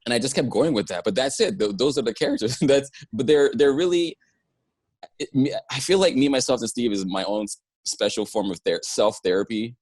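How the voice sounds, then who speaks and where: A man from Nigeria chatting casually as a guest on a video call, animated, laughing and rambling. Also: medium pitch, brisk, no echo. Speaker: guest, singer and actor. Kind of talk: casual chat. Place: home room indoors. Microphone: earphone mic on a video call.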